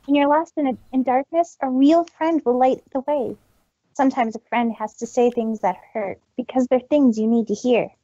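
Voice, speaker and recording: A woman plays a proud, reformed female pony magician from a cartoon fan story. The voice is high and soft-edged with a husky grain, theatrical and earnest. The audio is slightly dull and close. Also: fairly even intonation, moderate pace, no echo. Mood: earnest, reflective. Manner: theatrical, speaks of self in third person. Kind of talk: dramatic fan fiction reading.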